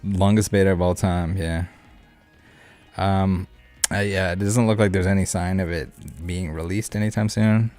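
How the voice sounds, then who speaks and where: A man's voice: sits low, steady tone, a little slow, a little mellow. A man hosting a card game live stream, chatting casually with viewers in a rambling, joking, laid-back way. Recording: music playing behind the voice. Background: background music.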